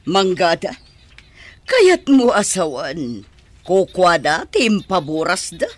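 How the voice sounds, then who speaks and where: A woman's voice: on the low side, very animated, takes it slowly, dry recording, exaggerated voice. A woman playing a curious, questioning village woman. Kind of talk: radio drama acting.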